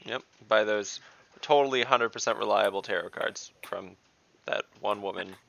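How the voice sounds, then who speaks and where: A man's voice: lowish voice, normal speed, gravelly, a bit bright, highs cut off. A man playing a tabletop game, who asks wry, joking questions. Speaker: player. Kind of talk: casual tabletop play.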